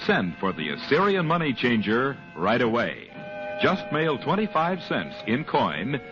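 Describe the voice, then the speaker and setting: An American man delivers a vintage radio sales pitch. He is emphatic and very varied in pitch. The recording is dull, with music clearly behind him. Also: wildly swooping pitch, measured pace. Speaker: radio advertisement announcer.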